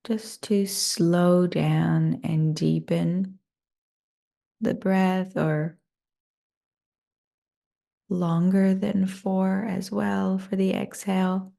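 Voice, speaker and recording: Woman doing guided meditation, soft dark tone w lots of creak, soothing n unhurried. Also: mid-range voice, little pitch movement. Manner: soothing, unhurried, gently inviting.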